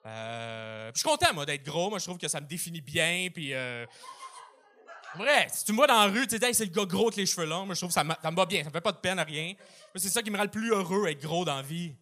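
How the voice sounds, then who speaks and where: A man's voice: high voice, lively intonation, quick pace, pushed and bright. A Québécois French-accented man doing a self-mocking stand-up bit, loose and chuckling. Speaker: stand-up comedian. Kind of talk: stand-up then receiving feedback.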